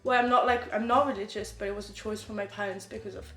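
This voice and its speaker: A woman's voice: mid-range voice, steady tone, fast talker, soft background music. French woman hosting, echoey room. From France. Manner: reads a bright scripted welcome, then asks questions with many "like"s. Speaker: podcast host. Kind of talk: scripted intro then casual chat.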